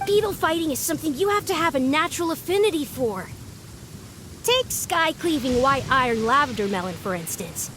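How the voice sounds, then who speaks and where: A young game character with a high voice. She sounds composed and knowing, explaining things in a matter-of-fact, instructive manner, over faint background noise. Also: lively intonation, brisk, fairly bright tone. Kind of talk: video game voice acting.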